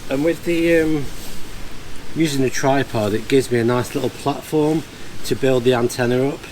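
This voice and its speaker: A man's voice: medium pitch, normal speed, a little mellow, low-quality recording. A man talking casually through a hands-on task, hesitantly thinking aloud as he works. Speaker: amateur radio operator vlogging. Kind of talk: casual hands-on vlog narration. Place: outdoors in the field and inside a barn. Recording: clear ambient background noise on location.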